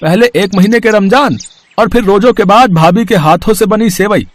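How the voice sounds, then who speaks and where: A man's voice: high voice, normal speed, no room sound, close to an everyday voice. A man voicing a cheerful, food-loving village farmer in a storybook tale, hearty and friendly.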